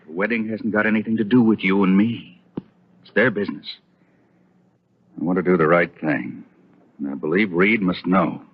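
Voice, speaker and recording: A very low, husky American male doctor, stern, dutiful and anguished. He speaks with brooding emphasis in an old soap opera, on a dull lo-fi vintage recording. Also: normal speed, pauses often. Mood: resolute, troubled. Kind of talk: old-time radio soap opera acting.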